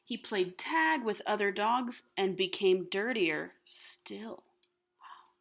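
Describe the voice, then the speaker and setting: Lively woman reading a picture book. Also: mid-range voice, slow pace, creaky. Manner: animated, with hushed asides to the listeners. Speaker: storybook reader. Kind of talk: expressive read-aloud of a children's picture book.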